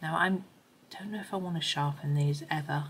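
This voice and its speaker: Low-voiced woman, slightly croaky, chatting. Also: a little hiss or hum. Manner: chatty, unhurried thinking aloud. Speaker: host of a colouring supplies review. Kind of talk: casual chat while demonstrating.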